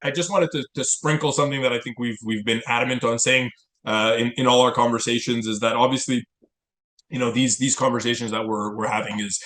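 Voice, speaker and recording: A man's voice: medium pitch, steady tone, a little fast, a bit bright, echoey room. A man whose first language is Italian, co-hosting a podcast in casual chat over wine, rambling with many repeated words and restarts. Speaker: podcast co-host.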